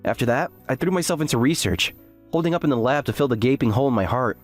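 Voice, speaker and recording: A man's voice: mid-range voice, expressive, fast talker, soft background music, natural voice. A man playing an earnest, self-deprecating graduate student who narrates his story in an anime-style dub. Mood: despairing. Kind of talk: first-person scripted narration with acted dialogue.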